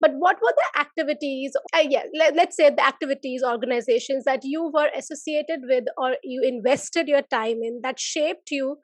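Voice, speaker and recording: Woman podcast host, pretty high voice, dry sound, long rambling questions. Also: moderate pace, hardly any pauses, no room sound. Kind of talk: interview questions and reflection.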